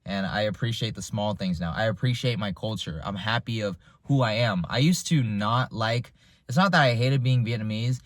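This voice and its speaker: A man's voice: on the low side, moderate pace, dry recording, studio quality. Reflective young man talking to camera. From United States. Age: 20s. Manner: reflective, rambling, with frequent restarts and fillers. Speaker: vlogger. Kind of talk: casual unscripted monologue.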